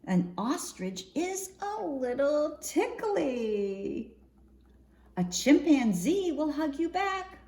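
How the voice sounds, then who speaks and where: A woman reading a picture book aloud for young children in an expressive, singsong storytime manner, often posing questions to her listeners. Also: high-pitched, takes it slowly. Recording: roomy echo, faint background noise.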